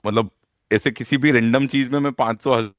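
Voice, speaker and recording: Indian guy, normal pitch, casual thoughtful chat mixing hindi and english, no room echo. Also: steady tone, brisk, studio quality. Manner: mixes Hindi with English phrases.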